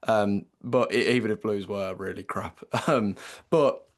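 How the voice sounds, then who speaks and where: A man in his late twenties with an English accent and a slightly croaky voice, co-hosting a casual football podcast and chatting with lots of fillers. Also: fairly low pitch, unhurried. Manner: chatty, many fillers and restarts. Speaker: podcast co-host.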